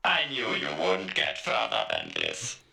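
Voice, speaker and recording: A man voicing a taunting, condescending cyborg villain for a video game, menacing and mocking, through a robotic pitched-down filter. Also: wildly swooping pitch, unhurried, gravelly, sharp tone, reverberant, exaggerated voice. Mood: smug.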